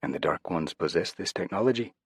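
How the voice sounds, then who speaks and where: Brief: narrator as a soothing fatherly man, slightly raised, lively in pitch. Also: a little fast, slightly rough, no echo, studio quality. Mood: probing. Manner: calm, fatherly, reassuring. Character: gentle fatherly male interrogator, devout.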